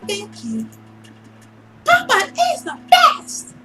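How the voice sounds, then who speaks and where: Guy doing a bold little kid girl in a visual novel, super high squeaky pitch, swoopy, music behind. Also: wildly swooping pitch, very slow, heavily acted. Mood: polite. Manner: childlike and cheeky. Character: blunt, bold little girl from a noble family. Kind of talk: visual novel character read-aloud.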